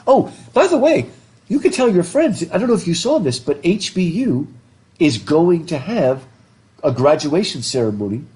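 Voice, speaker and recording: A middle-aged man lectures a classroom in front of a blackboard, his mellow voice thick from a stuffed up nose. He jokes, laughs and asks the students questions as he goes. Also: fairly high pitch, very animated, moderate pace. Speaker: professor. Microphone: laptop webcam.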